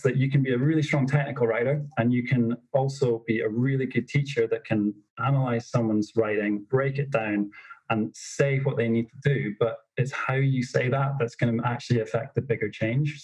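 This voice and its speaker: A young man telling stories as a podcast guest, in a self-described monotonous voice with lots of fillers. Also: mid-range voice, poor audio. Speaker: snowboard instructor trainer, podcast guest. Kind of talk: casual interview storytelling.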